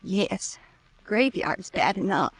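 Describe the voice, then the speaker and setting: A nervous, clinging young woman in a vintage radio chiller. Her voice is a little husky, with an anxious, pleading edge. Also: medium pitch, animated, slow, dry recording. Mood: frightened. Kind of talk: old-time radio horror drama acting. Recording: vintage radio drama recording.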